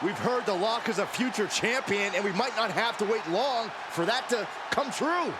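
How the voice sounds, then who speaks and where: Male actor excitable colour commentator, very high pitch, emphatic exclamations, wrestling video game, heavy noise behind. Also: moderate pace, a loud crowd, lightly acted. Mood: intrigued. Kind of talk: sports commentary. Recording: loud arena noise behind.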